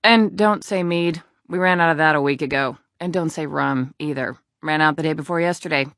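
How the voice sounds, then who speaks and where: A blunt female dwarf serving in a fantasy game tavern. Her voice sits a little low for a woman, recorded dry, and she talks with earthy, sardonic bite. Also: brisk, a bit bright, no echo. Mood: wry, exasperated. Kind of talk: video game character dialogue.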